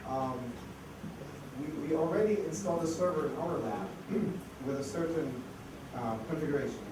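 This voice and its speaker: A man giving a chatty conference talk with anecdotes and rhetorical questions, his voice going hoarse. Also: fairly high pitch, normal speed. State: losing his voice. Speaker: conference speaker on information security. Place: lecture hall. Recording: echoey hall, distant mic, noticeable noise.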